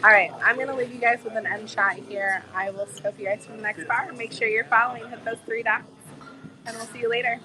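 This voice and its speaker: A woman travel vlogger with a cold, her voice a bit hoarse, chatting in a bubbly, gushing way to her live viewers from a bar. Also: fairly high pitch, fairly even intonation, a little fast, a crowd in the background, rough recording. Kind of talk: casual live-stream chat with viewers. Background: music playing in the bar.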